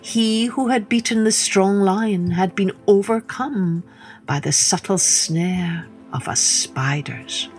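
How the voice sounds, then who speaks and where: A woman narrates a children's tale at a slow, deliberate pace. She is theatrical, dropping into breathy hushes, and there is faint music in the recording. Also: mid-range voice. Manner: theatrical, drops to breathy hushes, questions the listener. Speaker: storyteller, podcast host. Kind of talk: dramatic children's storytelling narration.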